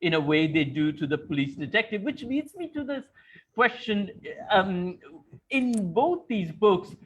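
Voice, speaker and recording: A man's voice: high voice, sing-song, unhurried, highs cut off, a little hiss or hum. A man interviewing conversationally, thoughtfully explaining his way toward each question. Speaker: interviewer, moderator.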